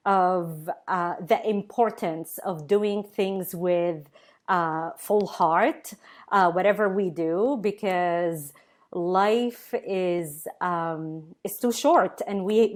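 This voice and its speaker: A woman, a guest on a webinar interview, speaking thoughtfully with many pauses, fillers and restarts, over a video call. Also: medium pitch. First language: Arabic.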